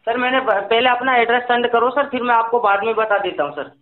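A man's voice: extremely high pitch, fast. A man working as a company phone agent on a sales call, speaking insistently and repeating himself over a telephone. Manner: insistent, repetitive. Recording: tinny, narrowband phone line recording.

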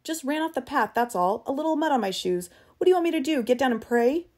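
A cowardly hunter in a storybook tale, put on by a woman reader, his voice pitched high. He pleads and protests, frightened and defensive. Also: animated, fast talker, darkish tone. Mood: panicked, defensive.

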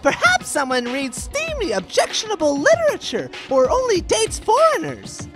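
A woman's voice: extremely high pitch, huge pitch swings, normal speed, over music, full character voice. A woman voicing a gleeful robotic bear villain in a fan manga dub, announcing in a theatrical sing-song. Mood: gleeful, taunting.

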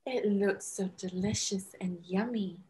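A woman's voice: mid-range voice, steady tone, unhurried, darkish tone, a little hiss or hum, close to an everyday voice. A young woman playing a sweet, hesitant storybook princess in a pantomime. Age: young adult. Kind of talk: children's pantomime acting.

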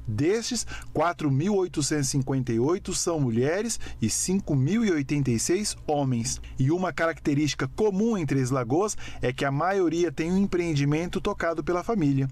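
Measured male news reporter. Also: fairly high pitch, lively intonation, a little mellow, faint music behind. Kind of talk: news report narration and interview questions. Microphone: handheld microphone.

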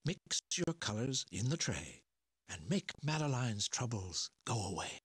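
A man's voice: medium pitch, big pitch swings, unhurried, slightly rough, no echo, heavily acted. A man playing a playful storybook narrator in a children's game, reading rhymes in a theatrical sing-song way. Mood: playful, instructive.

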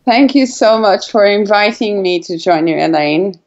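A woman's voice: mid-range voice, animated, normal speed, dull audio. A woman in her forties from Portugal, a guest telling her story in a casual interview, hesitant with many fillers and restarts. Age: 40s. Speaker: guest, brand founder.

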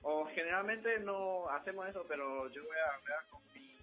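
A man's voice: fairly high pitch, moderate pace. Hesitant man on a dull phone line. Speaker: supermarket owner taking a phone call. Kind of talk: casual phone conversation. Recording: dull phone audio, faint music behind.

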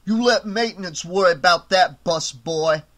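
A man's voice: high voice, moderate pace, fairly bright tone. A man voicing a brutish, bullying hotel supervisor who snarls profane threats. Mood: scornful.

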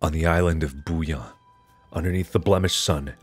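A man's voice: a bass voice, fairly even intonation, normal speed, husky, soft background music. A man voicing a frightened boy who solemnly chants a protective charm in a horror game. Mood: solemn chanting. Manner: solemn, incantatory. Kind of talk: horror visual novel character reading.

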